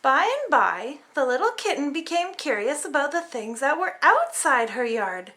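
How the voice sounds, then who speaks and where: A woman narrates a children's storybook with theatrical flair. Her voice is high and bright, with big swings in pitch. She tells it expressively, as if to very young listeners. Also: moderate pace, a little hiss or hum. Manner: animated, dramatic storytelling for small children. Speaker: storybook reader.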